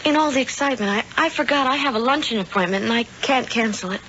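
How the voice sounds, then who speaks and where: Innocent, eager young woman played by an actress, earnest and polite, lightly high voice, muffled old radio drama. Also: quick pace, a bit bright, natural voice. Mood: apologetic. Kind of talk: 1970s radio drama acting. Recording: muffled, noisy vintage radio broadcast.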